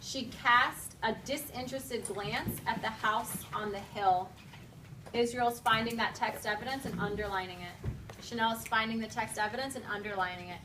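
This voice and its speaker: A woman's voice: on the high side, normal speed, recorded from a distance. Directive woman teacher in a noisy classroom. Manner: directive, clipped commands alternating with expressive reading. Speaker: teacher leading a class read-aloud. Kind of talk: classroom instruction with read-aloud. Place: school classroom with pupils.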